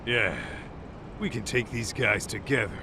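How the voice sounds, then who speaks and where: An actor playing a brash, hot-blooded detective sidekick in an English game dub, blunt, cocky and street-tough. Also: male voice, medium pitch, unhurried, background noise, close to an everyday voice. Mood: determined.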